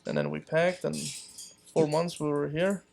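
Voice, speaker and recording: Man from Palestine, Romanian native speaker, slightly higher voice, relaxed storytelling, chuckles, repeats words. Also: moderate pace, vocal fry. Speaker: guest. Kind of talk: casual storytelling chat.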